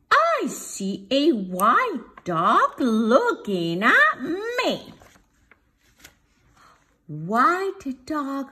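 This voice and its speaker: A woman preschool teacher reading a picture book aloud to young children in a sing-song, drawn-out way. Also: fairly high pitch, creaky, sharp tone. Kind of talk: children's storybook read-aloud. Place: home dining room, indoors.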